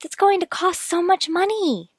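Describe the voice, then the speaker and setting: A woman acting in an old-time radio drama as a gentle, worried, devoted wife and mother, tender and earnest. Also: extremely high pitch, normal speed, no room sound, clean studio sound.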